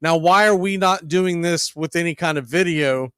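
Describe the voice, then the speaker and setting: A man hosting a baseball radio show, talking casually to listeners in a conversational, halting way. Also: on the high side, fairly bright tone, smooth, no echo, studio quality. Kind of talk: casual monologue to listeners about baseball history.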